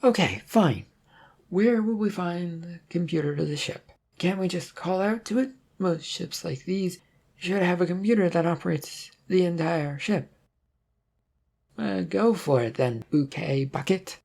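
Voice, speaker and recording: Husky, uneasy detective suspect, acted by a narrator. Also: mid-range voice, measured pace, darkish tone, voice pushed up.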